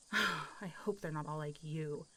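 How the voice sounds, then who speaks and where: A woman's voice: low voice, slow pace, pushed and bright, a little hiss or hum, heavily acted. A woman playing a friendly, teasing, centuries-old zombie girl in an intimate roleplay audio drama, talking in a casual, playful way. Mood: amused. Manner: casual, playful, teasing, often laughing and sighing.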